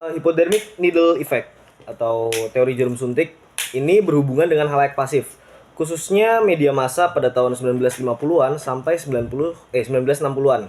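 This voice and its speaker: A young man giving a class presentation, explaining a theory with hesitant pauses. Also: medium pitch, a little mellow, slight background noise. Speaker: student presenter.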